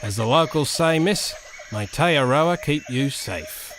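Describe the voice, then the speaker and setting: A man's voice: on the high side, animated, takes it slowly, noisy background. A man playing a wary, mercenary jungle guide in an old-time radio adventure, with a terse, ominous manner. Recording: faint jungle sound effects behind.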